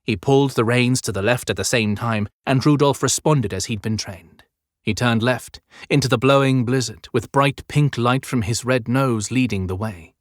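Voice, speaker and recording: A man narrating a fantasy audiobook as scripted storytelling, with measured, clearly phrased delivery. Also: mid-range voice, no room sound, studio quality.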